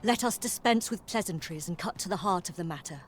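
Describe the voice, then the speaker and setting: A woman playing a composed, courteous officer of an empress in a fantasy video game, speaking in measured, archaic, formal phrases. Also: lowish voice, expressive, music in the background, natural voice. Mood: grave, urgent.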